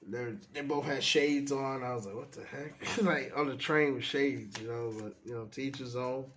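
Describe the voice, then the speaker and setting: A man, stuffy from a cold, casually talking through the pages of his sketchbook in a relaxed, meandering way. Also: mid-range voice, normal speed, slight background noise. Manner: relaxed, meandering, trails off often. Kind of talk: casual rambling commentary.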